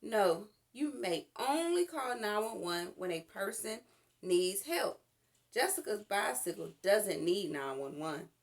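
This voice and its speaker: A woman reads the part of a patient mother in a children's storybook. She explains calmly and stays firm, with expressive movement in her pitch. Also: slow pace. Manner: calm, firm, instructive. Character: patient, firm, caring mother of a little girl. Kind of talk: children's storybook read-aloud.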